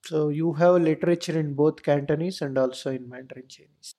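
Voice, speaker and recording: A woman's voice: low-pitched, fairly even intonation, fairly quick, warm tone. A woman hosting a podcast asks plain, short leading questions of her guest. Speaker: podcast host. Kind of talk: interview questions.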